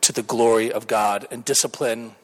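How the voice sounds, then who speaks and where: A man's voice: medium pitch, fairly even intonation, moderate pace. Pastor guy in his 30s, earnest, rambly intro then prays, normal voice. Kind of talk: introduction and prayer.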